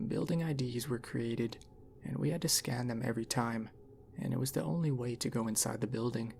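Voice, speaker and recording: A man narrating a story in an ASMR style, reading in a measured, unhurried way. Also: mid-range voice, gravelly, a little mellow, a little hiss or hum.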